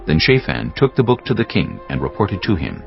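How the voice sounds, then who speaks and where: Deep, gravelly male scripture narrator. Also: huge pitch swings. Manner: solemn, deliberate phrasing. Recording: slightly dull audio with music bed.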